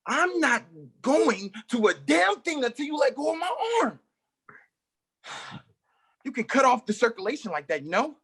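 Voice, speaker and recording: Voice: high voice, big pitch swings, normal speed, creaky, long pauses, fairly bright tone, husky, full character voice. Someone reading a stammering, fretful male magician. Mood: exasperated. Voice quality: stammering, theatrical. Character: anxious male wandering magician, stammering and grandiose. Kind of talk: play table read. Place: live reading at an art exhibition.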